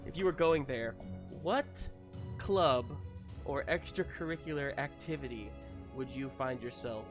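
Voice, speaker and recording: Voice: medium pitch, unhurried, faint music behind. The informal, readily amused host of a roleplaying livestream, chatting casually with the group. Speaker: host and game master of a roleplaying stream.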